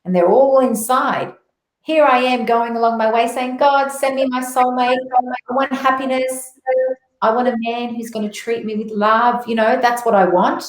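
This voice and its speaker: A woman's voice: a little high, steady tone, normal speed. A middle-aged woman with an Australian accent giving personal spiritual testimony as an interview guest, telling it earnestly and with emphasis. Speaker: interview guest, motivational speaker. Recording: roomy echo on voice.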